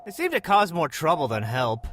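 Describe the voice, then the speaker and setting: A man's voice: fairly high pitch, huge pitch swings, normal speed, background noise. A man playing a jaded, skeptical soldier on monster watch, dry and dismissive in comic dialogue.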